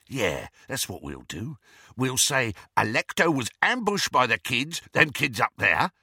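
A man voicing a brutish, hot-tempered, cowardly villain wizard who bellows in rough, ungrammatical speech, in an audiobook. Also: medium pitch, very animated, a little slow, pushed and bright, no room sound, clean studio sound, heavily acted. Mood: crafty. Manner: bellowing, uneducated non-standard grammar.

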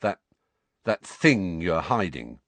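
A man's voice: deep voice, sing-song, slow, a bit bright, no echo, heavily acted. A man voicing a big, surly, boastful teenage bully boy who snarls and sneers, in acted audiobook dialogue.

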